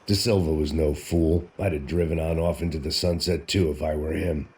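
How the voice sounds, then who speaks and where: A hard-bitten ex-cop narrating his own story in a video game. His voice-over is extremely deep, weary and dryly sardonic, in classic noir style. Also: fairly even intonation, normal speed, a little mellow, a little hiss or hum. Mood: grim.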